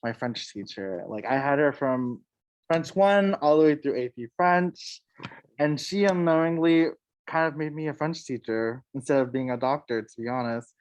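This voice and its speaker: A young man whose first language is Vietnamese, a French teacher, speaks on a casual panel in a mid-pitched voice. He is relaxed and earnest and leans on lots of fillers. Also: normal speed, poor audio.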